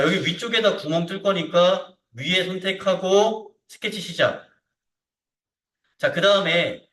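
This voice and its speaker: A man teaching a hands-on software lesson step by step, informally, often checking with short tag questions that the learner is following. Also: fairly high pitch. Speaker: CAD instructor. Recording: roomy echo, distant microphone.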